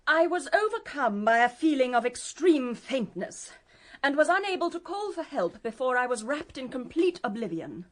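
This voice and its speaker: A prim young English lady of the 1920s, high-pitched and swooping in tone. She speaks in pompous, formal sentences with icy disdain, in period radio drama style. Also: very animated, moderate pace. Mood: haughty, formal. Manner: pompous, formal, clipped dismissive phrasing. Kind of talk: 1920s country-house radio mystery acting.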